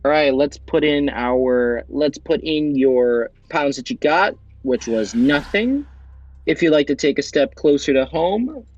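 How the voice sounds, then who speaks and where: A man hosting an amateur quiz show, upbeat and brisk as he announces questions. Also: on the high side, expressive, highs cut off.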